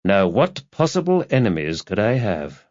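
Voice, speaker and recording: A man's voice: on the low side, sing-song, normal speed, a little mellow, dull audio. A man voicing a wry, world-weary male detective sergeant in an audiobook, speaking in a dry, terse way.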